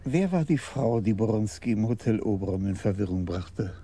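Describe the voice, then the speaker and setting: An actor playing a principled police commissioner in a German radio crime drama, questioning in a measured, terse way. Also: male voice, on the low side, animated, mellow, close to an everyday voice. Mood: quietly curious. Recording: clearly noisy 1980s radio drama recording.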